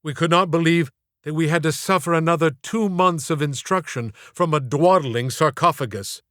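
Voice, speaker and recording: A man reading his own first-person literary story in a theatrical, deliberate way, with florid phrasing. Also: a little high, a bit bright, dry recording, studio quality. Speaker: author reading own audiobook.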